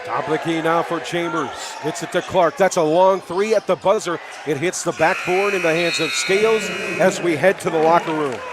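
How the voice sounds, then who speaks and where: A man calling live high school basketball as a play-by-play announcer, upbeat and chatty, projecting his voice from the gym. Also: moderate pace, few pauses, noisy background. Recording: live courtside gym broadcast.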